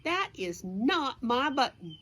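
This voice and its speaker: A woman's voice: high-pitched, big pitch swings, takes it slowly, bright, forceful tone, a little hiss or hum, voice pushed up, full character voice. A woman voicing a grumpy, fussing male toad from a children's storybook, complaining emphatically. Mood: exasperated.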